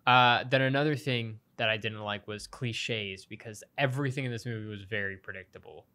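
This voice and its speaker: A man's voice: on the low side, a little fast, sharp tone. A young man co-hosting a podcast, giving his opinions in a casual movie review chat. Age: young adult.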